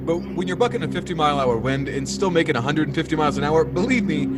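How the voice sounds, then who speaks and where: An actor playing a laconic, unimpressed charter pilot in an old-time radio drama. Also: male voice, on the high side, close to an everyday voice. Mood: dry, unimpressed. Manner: dry, unhurried, matter-of-fact. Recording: loud airplane engine noise.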